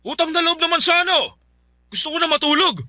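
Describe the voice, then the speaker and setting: A defensive, dismissive husband in a radio play. The voice sits very high and bright, and the lines come out fast and clipped. Soft music plays underneath. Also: male voice, lively intonation, dry recording, studio quality, exaggerated voice. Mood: annoyed. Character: irritable husband dodging his wife. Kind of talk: Filipino radio drama acting.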